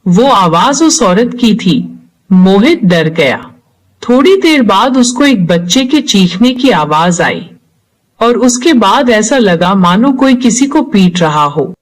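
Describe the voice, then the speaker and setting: A woman narrates a scripted horror story for a cartoon. Her pitch is average for a woman and moves with lively, animated swings through each sentence. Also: slow, low-quality recording.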